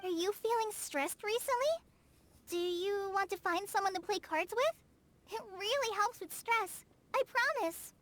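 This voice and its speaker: Very high, perky young girl. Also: measured pace, a bit bright, slight background noise. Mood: helpful. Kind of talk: video game character acting.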